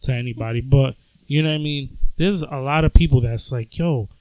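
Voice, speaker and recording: A man's voice: medium pitch, a little fast, darkish tone, no echo. A man on a casual podcast, speaking in a laid-back, confident way with informal slang. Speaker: podcast regular, photographer. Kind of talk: casual chat.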